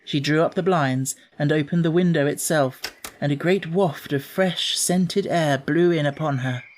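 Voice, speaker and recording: A man's voice: on the high side, normal speed, slight background noise. A man reads as an audiobook narrator. His delivery is scripted storybook narration, telling a children's tale.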